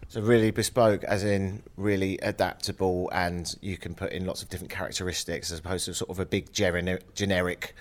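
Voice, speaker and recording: A man's voice: sits low, little pitch movement, fairly quick, few pauses. A man hosting a casual interview, congested from a cold, who asks chatty, rambling questions. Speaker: podcast host.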